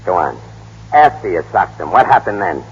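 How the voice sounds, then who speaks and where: A very raspy, impatient press man, with lively, swooping delivery. He is blunt and bossy in classic radio style, heard in a muffled, echoing hall. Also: low voice, moderate pace, full character voice. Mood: impatient, probing. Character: brusque, exasperated fast-talking press minder. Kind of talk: 1930s-40s radio acting. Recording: muffled old radio broadcast, cavernous reverb.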